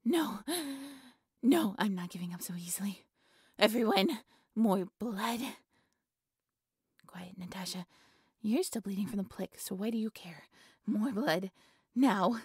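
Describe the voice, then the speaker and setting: A woman playing an obsessive, devoted female cult leader in a roleplay audio drama, with a breathy, reverent, theatrical delivery. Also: medium pitch, expressive, measured pace, long pauses, a little mellow, dry recording, studio quality. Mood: urgent, commanding. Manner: breathy, reverent, theatrical incantation with nervous stammers.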